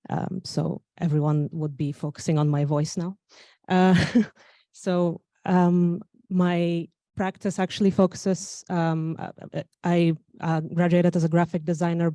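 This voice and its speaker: Soft-spoken Bulgarian woman with many fillers. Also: low-pitched, dry recording, studio quality. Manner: hesitant, many fillers and restarts. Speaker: conference speaker. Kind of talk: conference talk. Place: conference venue.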